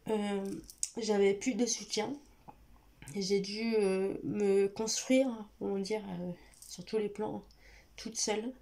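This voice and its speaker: A woman's voice: medium pitch, darkish tone. A young woman vlogging with a stuffy nose from a cold, talking hesitantly and directly to her viewers with many fillers and restarts. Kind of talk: personal confessional vlog talk.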